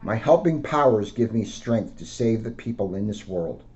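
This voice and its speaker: A man, a police officer, reading aloud to children in simple, deliberate phrases. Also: on the low side, expressive, a little mellow, faint music behind. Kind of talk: read-aloud storytelling with casual asides. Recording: dull lo-fi sound.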